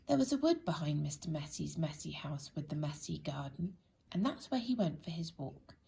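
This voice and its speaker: A woman reads a children's bedtime story expressively and deliberately, speaking directly to young listeners with a breathy, husky voice. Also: low voice, big pitch swings, warm tone, slight background noise.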